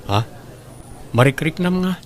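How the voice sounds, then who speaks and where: A man's voice: on the high side, expressive, unhurried, slight background noise. A man with a Filipino Ilocano accent playing an easygoing, considerate young man in an audio drama. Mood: puzzled. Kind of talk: Ilocano radio drama acting.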